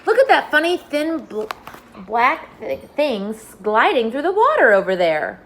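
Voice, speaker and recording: A woman reading a storybook aloud and voicing a brash male centipede, loud and excitable. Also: on the high side, racing, pitched above the performer's own voice, lightly acted. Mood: excited.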